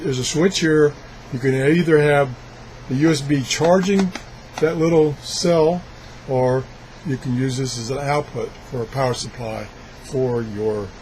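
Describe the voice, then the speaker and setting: Male hobbyist video reviewer, medium pitch, unscripted and halting, talking through what his hands are doing, slightly noisy recording. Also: a little mellow. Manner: halting, thinking aloud while handling things. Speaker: video blogger reviewing gadgets. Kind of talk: casual unscripted product demonstration.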